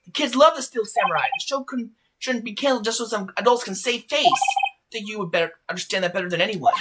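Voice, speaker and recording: A woman's voice: mid-range voice, fast talker, very noisy, close to an everyday voice. A woman voicing an earnest, determined young male defense lawyer in a video game fandub, probing and methodical.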